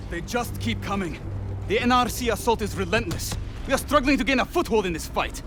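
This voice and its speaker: An actor playing a hard-pressed, forceful military commander giving urgent briefings in a video game. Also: male voice, sits high, animated, brisk, over music.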